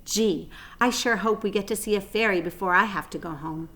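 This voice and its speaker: A woman's voice: medium pitch, fairly quick, fairly bright tone, natural voice. A woman voicing an eager, wide-eyed young boy in a children's storybook, earnest and curious. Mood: hopeful. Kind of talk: children's storybook read-aloud.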